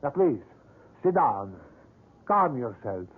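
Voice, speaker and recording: Slow, suave, unrepentant wax sculptor. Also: male voice, medium pitch, big pitch swings, slightly rough, exaggerated voice. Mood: defensive, measured. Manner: measured, condescending, placating. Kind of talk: old-time radio drama acting. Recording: dull lo-fi old radio recording.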